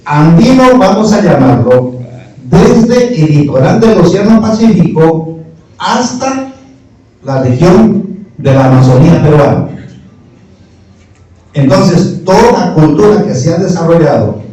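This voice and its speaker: Man, middle-aged, didactic panel lecturer, somewhat high, mellow voice, distant mic in a very echoey hall. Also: lively intonation, a little slow, pauses often. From Peru. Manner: didactic, argues point by point with rhetorical questions. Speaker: speaker at a history panel. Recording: distant, echoey, muffled room recording.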